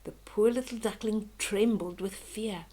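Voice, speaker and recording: An older woman reading a children's bedtime story aloud in a gentle, expressive, dramatic way. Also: mid-range voice, slightly rough, rough recording. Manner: slow, gentle, dramatic, with hushed whispery moments.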